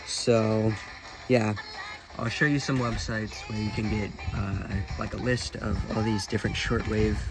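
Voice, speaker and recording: A man giving a casual, informal product demonstration as a video host, speaking in a somewhat hesitant, halting way. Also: on the low side, fairly even intonation, over music, low-quality recording. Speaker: video host, radio hobbyist.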